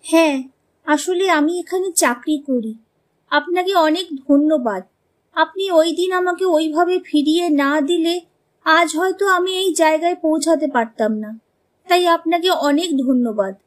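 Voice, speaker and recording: A woman's voice: extremely high pitch, unhurried. A woman voicing a proud, polite young bride in a cartoon story, earnest and firm. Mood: politely cool. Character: proud, polite, determined young village bride turned professional. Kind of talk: animated story dialogue.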